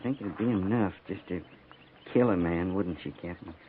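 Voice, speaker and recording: An actor playing a plain, loyal, soft-hearted frontier army sergeant in a 1950s radio western, speaking in a folksy, terse, respectful way. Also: male voice, deep voice, normal speed, natural voice. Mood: low, grim. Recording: hissy 1950s radio recording, narrow band.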